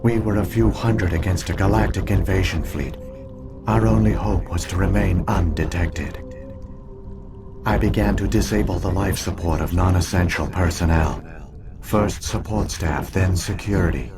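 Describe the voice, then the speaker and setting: Low, solemn ancient machine guardian. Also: male voice. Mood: solemn, resigned. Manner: grave, measured, deliberate storytelling. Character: ancient alien artificial intelligence guardian, solemn and weary. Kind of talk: scripted video game exposition. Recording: loud music behind the voice. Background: game music.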